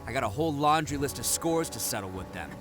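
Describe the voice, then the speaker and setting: An actor voicing a brash, boastful young male oni brawler in a video game, talking loud and cocky. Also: mid-range voice, expressive, quick pace, over music, close to an everyday voice.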